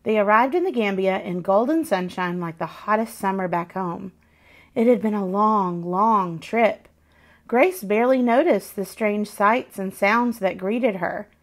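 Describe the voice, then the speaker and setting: Female storybook narrator, mid-range voice, expressive read-aloud for young children. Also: mid-range voice, a little slow. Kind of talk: expressive children's picture book reading.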